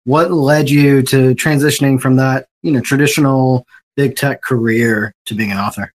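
A man's voice: mid-range voice, steady tone, normal speed. A man hosting a podcast, asking questions in a casual, conversational interview style. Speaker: podcast host.

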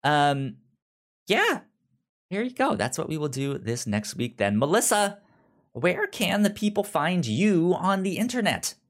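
A man's voice: sits high, sing-song, a little slow. A man co-hosting a podcast in casual, unscripted chat, often stammering and repeating syllables. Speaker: podcast co-host.

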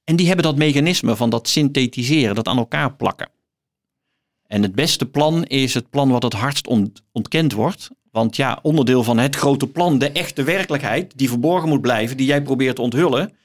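A middle-aged man explaining books in conversation as an interview guest, thoughtfully and haltingly, repeating words as he searches for phrasing. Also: mid-range voice. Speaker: guest, professor.

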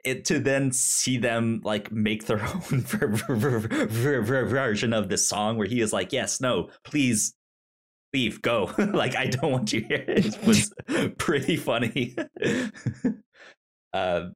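Slow, halting man on a podcast. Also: medium pitch, animated, breathy edge. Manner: halting, stammering, repeating word starts. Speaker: podcast co-host reviewing a film. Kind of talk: casual film discussion.

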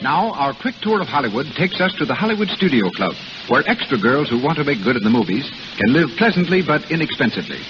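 A man announcing a 1930s radio drama in a crisp, formal delivery. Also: on the high side, very animated, quick pace. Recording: hissy muffled old radio.

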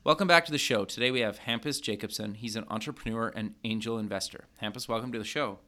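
A man's voice: on the low side, fast talker, bright, forceful tone. A man hosting an interview podcast, chatty and upbeat, with many fillers and restarts. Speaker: podcast host.

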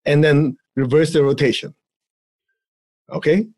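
A man with a Chinese accent talks listeners through a relaxed energy exercise. His voice sits fairly high and sounds husky. He keeps it playful and often breaks into laughter. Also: unhurried. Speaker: energy healing teacher. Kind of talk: guided exercise instruction in a podcast conversation.

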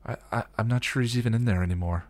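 A man's voice: medium pitch, rapid-fire, a little mellow, no echo, voice pushed up, natural voice. A man voicing an anxious, emotional young woman witch in a dramatic story reading, stammering and exclaiming. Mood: worried.

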